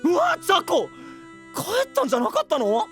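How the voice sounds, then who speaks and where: An actor playing an eager, boyish young knight in an anime voice drama, cheerful and casual in manner. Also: male voice, very high-pitched, normal speed, faint music behind, exaggerated voice. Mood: joyful. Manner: excitable, casual, rustic, boyish.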